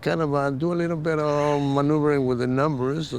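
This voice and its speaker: Older Mexican-born man, creaky voice, reminiscing. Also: fairly even intonation, a little fast. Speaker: interview guest, former soccer player. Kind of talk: casual reminiscence. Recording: faint rumbling background noise.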